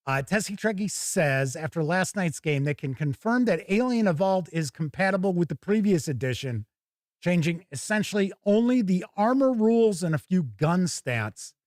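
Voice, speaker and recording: An American man hosting a casual livestream, chatting to viewers in a folksy, rambling way with drawn-out phrases. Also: sits high, expressive, darkish tone, no echo, studio quality. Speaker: host of a tabletop gaming livestream.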